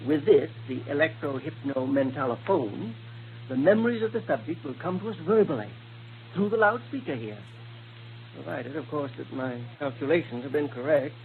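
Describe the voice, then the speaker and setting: An actor playing a kindly, learned scientist inventor in a 1930s radio serial, explaining things in precise technical language. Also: male voice, fairly high pitch, moderate pace, natural voice. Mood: eager explaining. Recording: muffled, noisy 1930s radio recording.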